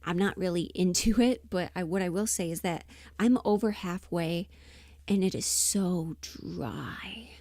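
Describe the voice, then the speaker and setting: A woman's voice: fairly low pitch, a little slow, darkish tone, no room sound, studio quality. A woman chatting casually on a livestream, informal and rambling, muttering asides to herself as she thinks aloud. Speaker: livestream host and amateur voice performer.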